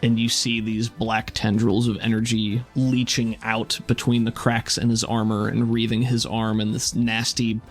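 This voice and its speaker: A man's voice: lowish voice, level tone, moderate pace, few pauses. A man narrating a tabletop roleplaying game as game master, describing scenes and calling for rolls. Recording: music behind the voice. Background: background music.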